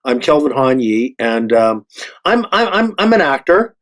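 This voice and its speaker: A man speaking casually as a panel guest, halting and repeating words, with a modest manner. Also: medium pitch, big pitch swings, fairly bright tone. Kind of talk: casual self-introduction.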